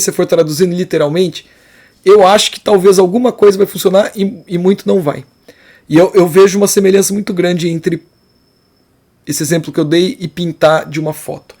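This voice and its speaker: A man's voice: sits high, a little mellow. A man teaching an art class, talking casually and rambling a little, with slang and restarts. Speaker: art teacher giving feedback. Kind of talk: casual spoken art lesson.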